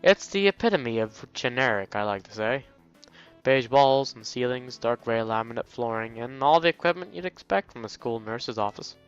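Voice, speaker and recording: A man's voice: medium pitch, animated, moderate pace, clear, resonant tone, highs cut off, soft background music. A man hosting a let's play, reading a visual novel aloud and breaking off into jokey exclamations.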